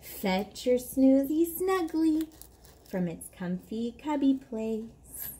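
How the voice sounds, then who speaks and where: A young woman speaking to a small child in a gentle, drawn-out way, as in a story time for toddlers. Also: high voice, animated. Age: young adult. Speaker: parent reading to a toddler. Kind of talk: singing and story reading for toddlers. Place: covered backyard patio, outdoors. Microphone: camera at about arm's length. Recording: clear background noise outdoors.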